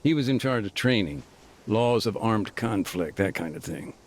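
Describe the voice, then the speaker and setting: An actor playing a middle-aged, war-weary former peacekeeper, speaking plainly with military jargon and dry humor, in a game cutscene. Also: male voice, fairly low pitch, animated, normal speed. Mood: reflective. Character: war-weary male ex-peacekeeper telling his story. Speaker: interviewee. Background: music.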